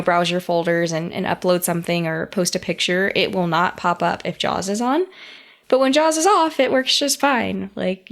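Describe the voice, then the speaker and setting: A woman's voice: medium pitch, gravelly. A woman co-hosting a podcast, chatting casually about tech and acting out her reactions as she tells stories. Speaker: podcast co-host.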